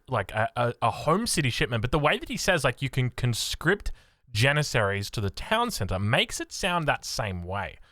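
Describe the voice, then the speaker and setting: Excitable Australian man, bright lively voice. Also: medium pitch, dry recording, clean studio sound. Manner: excitable, interrupts himself, thinks aloud. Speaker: streamer reacting to a video. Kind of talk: casual reaction commentary.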